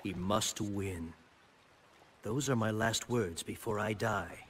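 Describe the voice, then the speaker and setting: An actor playing a wise, solemn thunder god and mentor in a video game, giving grave, measured counsel. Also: male voice, on the low side, slight background noise. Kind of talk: video game drama acting.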